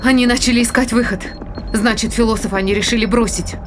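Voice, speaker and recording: Actress as doubtful high-voiced crewwoman, music behind. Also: steady tone, fast talker, close to an everyday voice. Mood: skeptical. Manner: questioning, doubtful, protesting. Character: uneasy, skeptical woman crew member. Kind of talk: dramatized science-fiction audio play acting.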